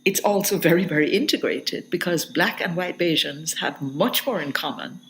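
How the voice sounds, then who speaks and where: A woman's voice: fairly low pitch, lively intonation, moderate pace, a little hiss or hum, low-quality recording. A middle-aged woman, a literature professor answering interview questions in a reflective, hesitant way. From Jamaica. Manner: thoughtful, hesitant, with restarts.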